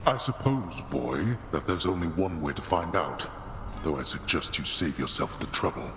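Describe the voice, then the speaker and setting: Game villain: an imperious old death god, very low, echoing in a long hall. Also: male voice, very animated, normal speed, fairly bright tone, a little hiss or hum. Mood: scornful. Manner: imperious, disdainful. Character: stern, scornful old god of the dead, father. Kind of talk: video game voice acting.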